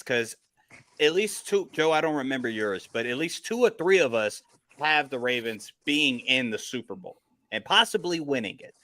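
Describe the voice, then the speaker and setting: Bright-voiced man debating sports, close and dry. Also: on the high side, no echo. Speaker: panelist leading a sports debate.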